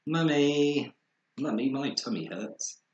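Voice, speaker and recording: An excitable young boy bear from a storybook, acted out by a man, curious and greedy, full of childlike exclamations. The voice swings widely in pitch and sounds in an echoey room. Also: takes it slowly, highs cut off. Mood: plaintive.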